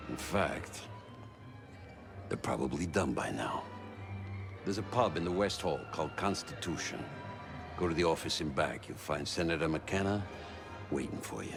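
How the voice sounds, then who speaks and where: An actor playing a smug, wry spy fixer with a gravelly voice and a dry, knowing delivery in a video game cutscene. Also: male voice, a bass voice, animated, normal speed, pauses often, exaggerated voice. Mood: wry, businesslike. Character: smug, wry old-school spy fixer doing shady deals. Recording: loud music behind the voice.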